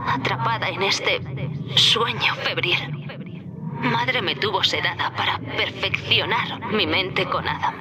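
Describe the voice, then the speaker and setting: A woman playing an earnest, devoted young daughter in a video game, speaking Castilian Spanish in an intimate, solemn, at times breathy way. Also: medium pitch, slow pace, background noise, close to an everyday voice. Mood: weary, solemn. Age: young adult. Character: earnest, devoted young captive daughter, gentle yet resolute. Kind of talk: dramatic video game voice acting.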